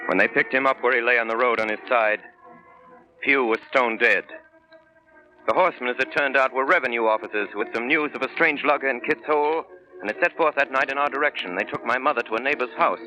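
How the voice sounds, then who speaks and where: A man's voice: mid-range voice, fast talker, bright, forceful tone, faint music behind, natural voice. An actor playing a grave storyteller recalling his adventure, narrating a 1930s radio drama. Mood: grave recounting. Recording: very noisy 1930s radio recording.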